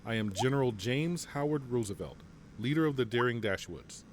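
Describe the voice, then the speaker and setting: A man playing a calm, assured general. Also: mid-range voice, normal speed, a little hiss or hum, close to an everyday voice. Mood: commanding. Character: composed male general leading a mercenary company. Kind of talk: fan audio drama acting.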